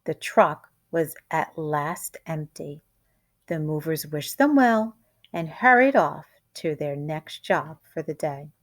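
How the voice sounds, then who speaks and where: A woman reading a children's picture book aloud like a teacher, with expressive, deliberate and dramatic storytelling. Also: low-pitched.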